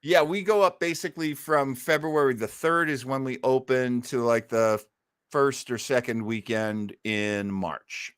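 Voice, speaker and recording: A man's voice: mid-range voice, a little slow, fairly bright tone, clean tone, no echo, studio quality. An American man hosting a casual radio fan show, speaking in a theatrical, effusive and self-mocking manner. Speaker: host. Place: indoors at home.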